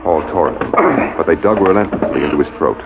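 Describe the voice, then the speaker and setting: A man narrating a radio drama in a measured, formal scripted style. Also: rumbling low, phone-quality sound, very noisy. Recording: band-limited old radio recording. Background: music.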